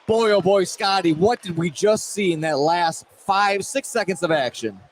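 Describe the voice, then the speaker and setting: A man doing excited, wordy live play-by-play of a high school basketball game with dramatic phrasing. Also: moderate pace. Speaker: play-by-play commentator. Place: indoor school field house. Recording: noisy live gym broadcast. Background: loud crowd and gym noise.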